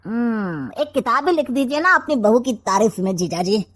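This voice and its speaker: A woman's voice: a little high, lively intonation, normal speed, a bit bright. A woman voicing a sly, sarcastic, scheming older uncle in a comic family story, with a theatrical drawl. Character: scheming, sarcastic maternal uncle, middle-aged or older man.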